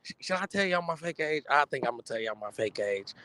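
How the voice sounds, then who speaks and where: An American man in his thirties chatting casually in heavy slang, bantering with an animated, theatrical air of protest. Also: medium pitch, fast, no room sound. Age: 30s. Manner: animated, dramatic mock protest.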